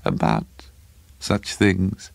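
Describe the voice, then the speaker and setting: A small bearded madman, acted out by a male narrator, low and croaky, sighing out his words with a wet bubble in them. He stammers and rambles to himself, sly and conceited, in a macabre story reading that sits dry and close. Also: big pitch swings, drawn-out words, no room sound, heavily acted. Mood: conceited, guarded. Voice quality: low, breathless, sighing, bubbling speech impediment.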